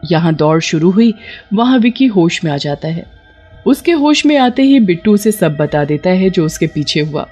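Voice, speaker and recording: Scripted storyteller with a mid-pitched voice. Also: big pitch swings, normal speed, a little mellow, slightly rough, soft background music. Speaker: narrator.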